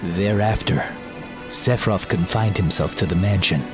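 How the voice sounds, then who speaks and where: Low-voiced brooding young swordsman, acted by a man. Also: normal speed, over music, natural voice. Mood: somber. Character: brooding young male swordsman recounting his past. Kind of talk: dramatic video game narration.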